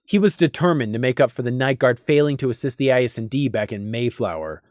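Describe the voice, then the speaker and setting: A man reading a scripted story as a narrator, deliberate and expressive, with dramatic emphasis. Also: mid-range voice, no room sound, clean studio sound. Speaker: audiobook narrator.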